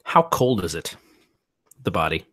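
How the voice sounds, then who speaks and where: A man playing a tabletop roleplay game, thinking aloud in a deliberate, musing way. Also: sits low, sing-song, pushed and bright, husky, no room sound, clean studio sound.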